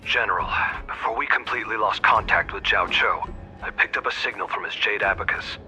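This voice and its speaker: A dutiful scout in a video game, reporting formally. His voice is low and extremely bright and tense, over faint music. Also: fairly quick. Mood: urgent, dutiful. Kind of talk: dramatic video game voice acting.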